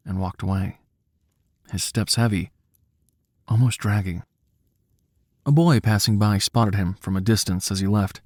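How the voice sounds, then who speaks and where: A man narrating a scripted story in a cinematic, dramatic style, with a measured, solemn, hushed manner. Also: fairly low pitch, no echo, studio quality.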